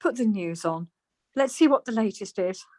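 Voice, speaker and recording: A woman acting a chirpy, stubborn wife who is quick to retort, in a naturalistic radio play. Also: medium pitch, animated, darkish tone, dry recording, studio quality, lightly acted. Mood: tender, uneasy. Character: Margaret, bright, stubborn, easily huffy wife in lockdown.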